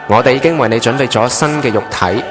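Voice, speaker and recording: A man's voice: mid-range voice, quick pace, lightly acted. A man with a Hong Kong accent playing a solemn, authoritative chief angel giving instructions in a radio drama. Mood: brisk, businesslike. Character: solemn male chief angel briefing a newly made trainee angel. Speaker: voice actor in an online radio drama. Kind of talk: Cantonese radio drama acting. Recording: music bed under the voice.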